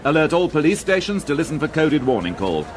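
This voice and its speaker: An actor playing a brusque, exasperated police inspector in a radio crime drama. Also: male voice, fairly high pitch, very animated, a little fast. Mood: urgent. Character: brusque middle-aged male police inspector. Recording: radio drama with background noise.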